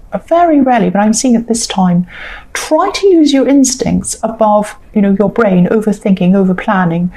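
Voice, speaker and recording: Mellow-voiced woman reading tarot cards. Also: medium pitch, low-quality recording. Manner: repetitive, reassuring, thinking aloud with fillers. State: croaky, coughing voice. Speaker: tarot reader. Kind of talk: casual spoken tarot reading.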